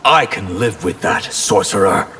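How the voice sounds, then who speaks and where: Lowish-voiced, defiant warrior. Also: male voice, expressive, measured pace. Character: defiant male warrior, gruff, scornful, unyielding. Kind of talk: fighting-game confrontation dialogue. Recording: long reverb, loud noise.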